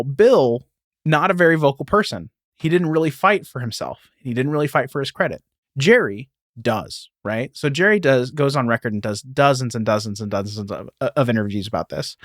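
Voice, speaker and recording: A man's voice: mid-range voice, dry recording, studio quality. A man co-hosting a podcast, talking casually and explaining things, sometimes reading aloud and correcting himself as he goes. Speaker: podcast co-host.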